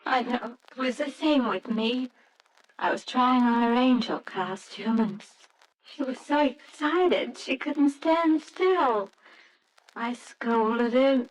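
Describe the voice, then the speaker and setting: An actress playing a grieving wife and mother in 1940s radio drama style, speaking in a measured, restrained, plaintive way. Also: on the high side. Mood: weary, sad. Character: sad, weary grieving wife and mother, quietly resigned. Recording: noisy old radio drama recording.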